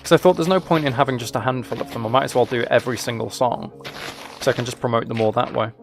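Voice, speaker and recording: A man's voice: medium pitch, quick pace, creaky, faint music behind. A man chatting casually on a game livestream, rambling and thinking aloud as he plays. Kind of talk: casual chat while playing a game.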